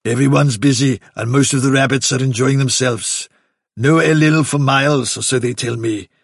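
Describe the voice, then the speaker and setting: A man voicing an elderly rabbit chief in a storybook, politely condescending, vague and evasive, with drawn-out, bumbling courtesy. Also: medium pitch, fairly even intonation, creaky, husky, no room sound, clean studio sound, pitched above the performer's own voice. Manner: bumbling, over-courteous, drawn-out patronising phrasing.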